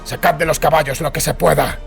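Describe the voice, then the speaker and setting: A man's voice: on the high side, fairly even intonation, fairly quick, gravelly, faint music behind, pitched above the performer's own voice. Man playing a grim, commanding monster hunter. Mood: firm, urgent. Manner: terse, commanding. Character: grim, decisive male monster hunter on the run. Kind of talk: dramatised audiobook character.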